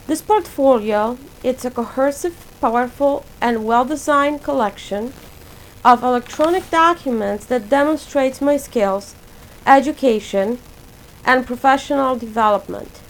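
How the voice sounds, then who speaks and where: A woman in her forties from Poland, a native Polish speaker, reading a prepared self-introduction for her portfolio in a measured, list-like way. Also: high-pitched, a little hiss or hum, poor audio. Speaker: graduate student presenting a portfolio.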